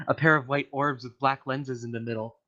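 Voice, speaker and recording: A man's voice: mid-range voice, fairly even intonation, a little fast, pushed and bright. Man narrating a story, dull lo-fi audio. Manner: stumbles over words and corrects himself. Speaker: reader of a comedic story to friends in a voice channel. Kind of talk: amateur dramatic story narration.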